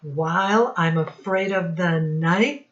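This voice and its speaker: Very slow-paced woman teaching little children. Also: lowish voice, roomy sound. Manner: simple questions with deliberate repetition. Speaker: children's teacher and read-aloud host. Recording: dull, lo-fi sound.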